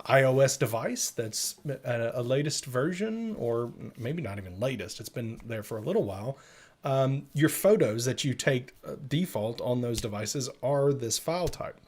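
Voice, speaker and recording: A man co-hosting a live show, explaining software updates in a casual, conversational way straight to the listeners. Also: mid-range voice. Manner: conversational, addresses listeners directly. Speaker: co-host of a tech update show.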